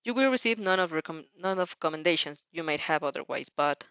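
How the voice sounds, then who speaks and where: A stern dog-mutant police chief from an audio story, acted out by a woman. He speaks formally and in measured phrases. The sound is dry and a little dull. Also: low voice, animated, fairly bright tone, smooth, dry recording. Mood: formal, conceding.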